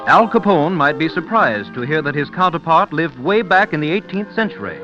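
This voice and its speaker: A man's voice: fairly high pitch, expressive, brisk, over music. A man announcing in a scripted old-time radio style, as in a sponsor message. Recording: dull, lo-fi old radio recording.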